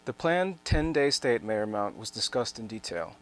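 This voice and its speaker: A man's voice: lowish voice, big pitch swings, moderate pace, fairly bright tone. A man reading scripted narration and quoted speech as the narrator of a dramatic reading.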